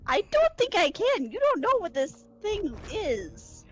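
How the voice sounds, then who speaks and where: A woman with a high voice and very wide pitch swings chats casually during a game, reacting to play with friends. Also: huge pitch swings, unhurried. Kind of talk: casual reactions while gaming.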